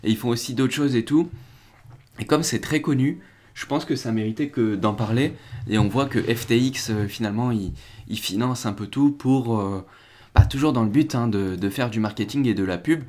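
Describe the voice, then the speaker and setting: A man's voice: medium pitch, normal speed, a little mellow. Casual French-speaking crypto streamer, young man. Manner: rambling, restarts and fillers, chats with viewers. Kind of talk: casual live-stream commentary.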